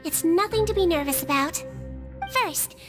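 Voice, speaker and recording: A small, caring nurse from an anime game. Her voice is extremely high, sweet and girlish. She speaks calmly and precisely to reassure people, with music playing behind her. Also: normal speed. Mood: reassuring, professional. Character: gentle, caring young girl nurse, small nonhuman. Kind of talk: anime video game voice acting. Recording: background music.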